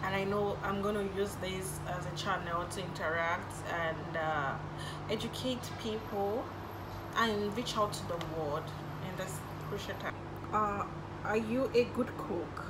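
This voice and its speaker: A young Nigerian woman with Igbo as her first language talks slowly and chattily in her bedroom vlog. She answers questions from a camera set in front of her. Clear noise from passing traffic runs behind her voice. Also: mid-range voice. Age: young adult. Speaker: YouTuber. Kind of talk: casual get-to-know-me vlog. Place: bedroom, indoors. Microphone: camera in front at desk distance.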